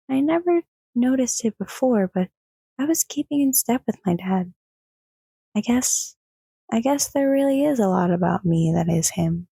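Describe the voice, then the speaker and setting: A woman's voice: mid-range voice, vocal fry, dark tone, breathy edge, no room sound. A woman playing a thoughtful young daughter in an audio drama, speaking hesitantly and wistfully. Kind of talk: audio drama acting and narration.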